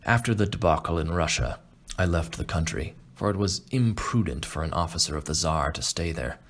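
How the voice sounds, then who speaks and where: A man playing a refined, boastful aging Russian aristocrat and hunter in an audiobook, speaking in a measured, pompous way. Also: low-pitched, lively intonation, a bit bright, exaggerated voice. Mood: boastful, urbane. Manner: pompous, measured, self-satisfied. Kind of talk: audiobook character acting.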